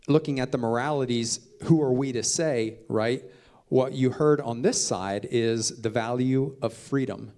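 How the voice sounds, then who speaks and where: A man giving a conciliatory, halting, unscripted answer as a debate panelist, often checking in with tag questions. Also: medium pitch, darkish tone. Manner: conciliatory, halting, with "right?" tags. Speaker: humanist atheist panelist.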